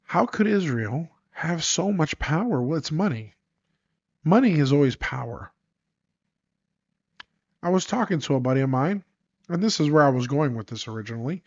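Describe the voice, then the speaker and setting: A man's voice: fairly high pitch, lively intonation, moderate pace, long pauses, darkish tone, no room sound. A congested man hosting a solo podcast, talking in an emphatic, sarcastic monologue full of rhetorical questions. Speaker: podcast host. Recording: dry, dull lo-fi sound.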